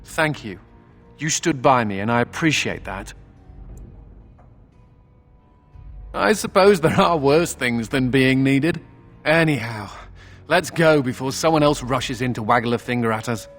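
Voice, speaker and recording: Man acting a wry, animated young-spirited mage. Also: medium pitch, normal speed, faint music behind, lightly acted. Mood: wry, grateful. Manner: sardonic, joking, conversational. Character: wry, flippant runaway mage, adult man. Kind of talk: video game character acting.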